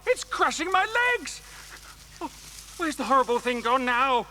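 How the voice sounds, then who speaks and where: Shrill, panicky villain. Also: male voice, lively intonation, moderate pace, bright, forceful tone, slight background noise, heavily acted. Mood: terrified. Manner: cursing, frantic. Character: panicky male villain in children's adventure.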